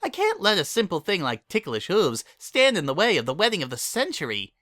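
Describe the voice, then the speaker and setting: A hyperactive young mare from a cartoon world, played by a man, pitched way up high. She gushes and chatters with zealous party-planner energy, in bright, bouncy swoops. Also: wildly swooping pitch, brisk, dry recording, heavily acted. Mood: insistent.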